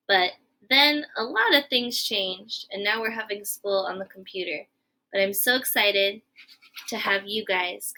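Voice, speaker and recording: A woman's voice: medium pitch, pushed and bright, rough recording. A woman teacher speaking to young pupils as part of a read-aloud. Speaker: elementary school teacher.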